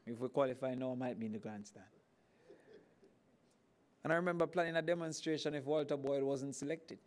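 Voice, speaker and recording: Emphatic Jamaican man giving a speech. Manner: animated, emphatic, climbing pitch on key points. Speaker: government minister. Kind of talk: formal speech at an event.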